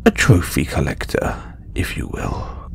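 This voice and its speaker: A man playing a condescending, possessive alien trophy hunter in an audio roleplay, speaking deliberately in breathy half-whispers with long pauses. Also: a little hiss or hum, natural voice. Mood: smug, menacing. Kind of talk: slow audio roleplay monologue to listener.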